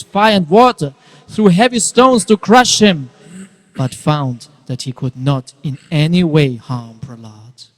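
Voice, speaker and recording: A man narrating a dramatic scripture reading, telling the story with deliberate emphasis. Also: high-pitched. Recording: reverberant hall.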